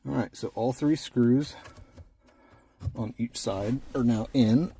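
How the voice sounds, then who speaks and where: A man's voice: medium pitch, slow pace, mellow. A man giving a casual, plain-spoken hands-on tutorial, talking through each step as he works. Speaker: vlogger doing a DIY truck install.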